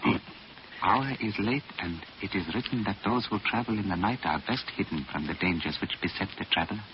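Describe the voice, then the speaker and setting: Through clear hiss and a dull old radio sound comes a man acting a Tibetan disciple. He is humble, sage and reverent, speaking formally at a middling pitch. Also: brisk, lightly acted. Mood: reverent, thoughtful. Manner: formal, proverb-laden, reverent. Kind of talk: old-time radio drama acting and narration.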